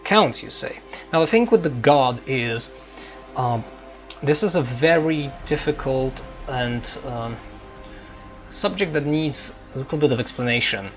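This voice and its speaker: A male gaming host with a middling pitch talks through a role-playing game. He explains reflectively and haltingly, unscripted. The sound is a little dull, with faint music behind. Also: lively intonation.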